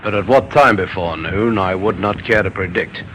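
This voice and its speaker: A man playing a cautious, matter-of-fact police surgeon in old-time radio crime drama, measured and precise. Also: low voice, fairly bright tone. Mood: clinical, cautious. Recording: faintly noisy old radio recording.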